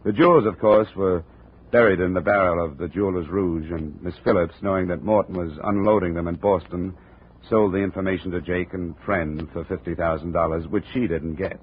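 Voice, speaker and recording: A deep, husky-voiced man playing a hardboiled investigator, speaking in a dry, clipped way. He narrates and acts in the lead role. The sound is a tinny old radio transcription. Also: fairly even intonation, fairly quick. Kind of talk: 1950s radio drama narration and acting.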